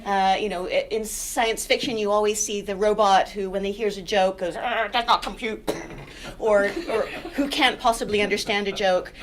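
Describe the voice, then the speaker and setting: A woman's voice: medium pitch, fairly even intonation, moderate pace. A middle-aged woman with a mid-range voice speaks on a panel in a wry, easygoing way. She talks into a handheld mic in a lecture room, with faint room noise behind her. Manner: wry, chatty, tells puns. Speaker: computer science professor on a panel. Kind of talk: panel introduction. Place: university lecture room with audience.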